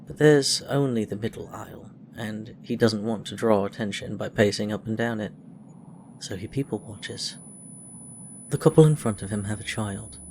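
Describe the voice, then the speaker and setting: A man reading a scripted story aloud as an audiobook-style narrator. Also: fairly low pitch. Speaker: audiobook-style reader of fan fiction. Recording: clear background noise.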